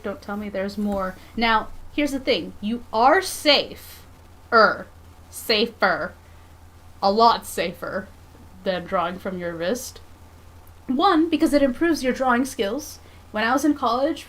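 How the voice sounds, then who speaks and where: A woman's voice: medium pitch, a bit bright. A woman in her thirties chatting casually on a livestream from her home drawing desk, rambling and self-deprecating. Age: 30s. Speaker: comic artist streamer.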